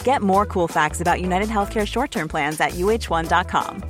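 A woman's voice: fairly low pitch, normal speed. A woman reading a scripted advert as announcer. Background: music bed.

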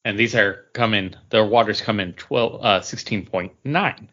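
Low-pitched man, halting, muffled audio. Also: fairly bright tone. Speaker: podcast co-host. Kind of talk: casual chat.

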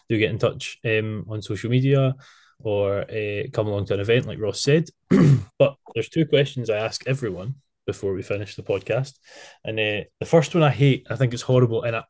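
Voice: mid-range voice, darkish tone. A podcast host in their twenties, leading a casual group interview with self-deprecating banter. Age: 20s.

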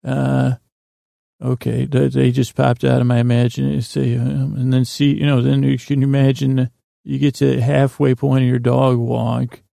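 A man hosting a podcast with a meandering, halting bedtime monologue that keeps drifting off track, in a gravelly, creaky voice. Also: mid-range voice, flat delivery, mellow, dry recording, studio quality. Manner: meandering, halting, digressive, loses his thread. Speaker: podcast host. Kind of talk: rambling bedtime monologue meant to help listeners sleep.

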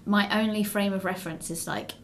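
A woman's voice: mid-range voice, fairly even intonation, a little fast, slight background noise. A woman hosting a casual interview, informal and teasing with her guest. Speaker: interviewer, host. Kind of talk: casual chat.

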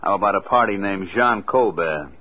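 A tough, cocky lawman in a hardboiled old radio play. His voice is on the low side, curt and sardonic, squeezed through tinny vintage radio sound. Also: normal speed. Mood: pressing, sardonic. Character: brusque, cocky tough male federal agent. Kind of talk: 1940s hardboiled radio drama acting.

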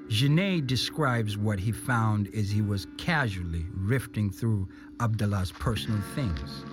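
A man reads scripted documentary narration in the role of narrator. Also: lowish voice. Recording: music behind the voice. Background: music.

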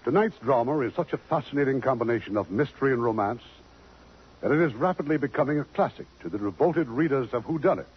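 Animated radio host, muffled old broadcast. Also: male voice, mid-range voice, quick pace, husky. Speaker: radio show host and narrator. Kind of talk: 1950s radio scripted introduction and narration.

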